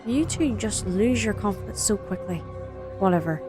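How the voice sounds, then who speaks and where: A woman's voice: medium pitch, expressive, normal speed, music in the background, lightly acted. A young woman playing a headstrong, determined college student in an amateur audio drama. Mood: defiant.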